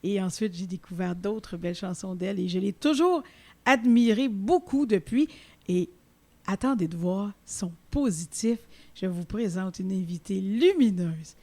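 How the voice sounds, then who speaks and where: A woman hosting an interview podcast, speaking with a Québécois French accent, effusive and quick to laugh. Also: medium pitch, darkish tone. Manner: effusive, laughs often, many restarts. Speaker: podcast host. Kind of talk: warm conversational interview.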